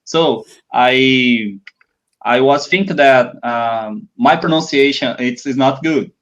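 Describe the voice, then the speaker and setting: A man's voice: medium pitch, takes it slowly, a bit bright, lo-fi sound. A Brazilian man, a native Portuguese speaker, asking interview questions in English on a video call, hesitant and searching for words. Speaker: host, English teacher.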